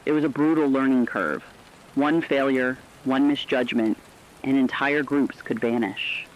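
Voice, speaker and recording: A man with a medium-pitched voice narrating a scripted apocalyptic story in a solemn, measured manner. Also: steady tone, lo-fi sound, a little hiss or hum.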